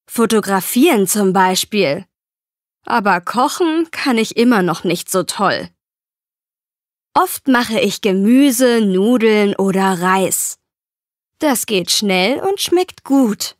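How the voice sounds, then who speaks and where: Actress for a young Polish woman, textbook reading, slow and dry. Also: mid-range voice, animated, no room sound. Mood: plain. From Poland. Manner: slow, careful, clear delivery. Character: young Polish woman who cannot cook. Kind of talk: scripted textbook monologue.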